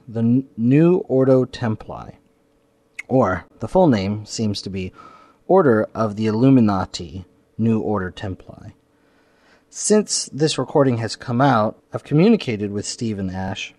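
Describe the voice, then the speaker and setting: A man's voice: fairly low pitch, dark tone, no echo. A man hosting a podcast, giving spoken introductions and announcements. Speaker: podcast host.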